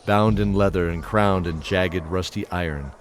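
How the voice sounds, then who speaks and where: A man narrating a scripted fantasy audio drama with dramatic, measured storytelling. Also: sits low, fairly even intonation, a little hiss or hum.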